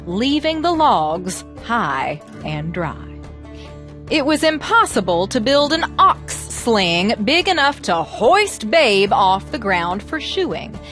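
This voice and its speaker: A woman telling folk tales as a presenter, with expressive, theatrical, emphatic phrasing. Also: medium pitch. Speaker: storyteller and presenter. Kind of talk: animated storytelling narration of American folklore.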